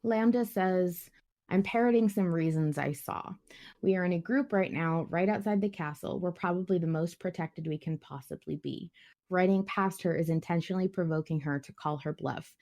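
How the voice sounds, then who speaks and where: A woman's voice: fairly low pitch, moderate pace, darkish tone. A woman hosting a casual podcast discussion, thinking aloud in a conversational way and sometimes reading out comments. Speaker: podcast host.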